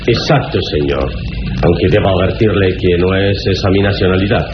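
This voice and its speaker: A man playing a serene, chivalrous adventurer hero in a radio serial, speaking in measured, suave, self-assured phrases with solemn declarations and an amused air. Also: low voice, close to an everyday voice. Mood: suave, dignified. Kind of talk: 1960s Mexican radio serial acting. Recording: dull vintage radio recording with music.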